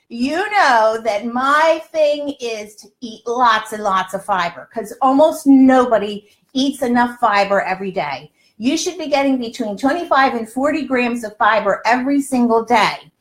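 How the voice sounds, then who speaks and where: A woman in her sixties chatting and explaining in a folksy, emphatic way as host of a live cooking show, recorded in a home kitchen. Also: on the high side. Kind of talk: chatty instructional livestream.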